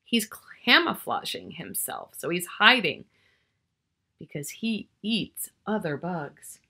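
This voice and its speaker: A woman's voice: on the low side, wildly swooping pitch, takes it slowly, creaky, sharp tone, breathy edge. A middle-aged woman reading a picture book aloud to young children, expressively, drawing out key words and chatting to the listener. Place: small furnished room at home, indoors.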